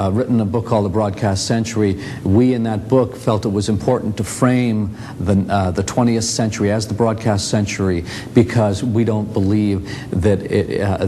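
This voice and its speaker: A man giving reflective interview commentary as an author, with halting, deliberate, measured phrasing. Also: darkish tone. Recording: clear background noise with low rumble.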